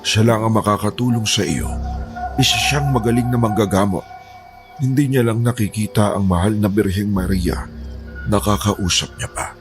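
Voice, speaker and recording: A man playing a calm, pious cult apostle in an audio horror drama, earnest, polite and persuasive as he recruits. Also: fairly low pitch, moderate pace, over music, close to an everyday voice.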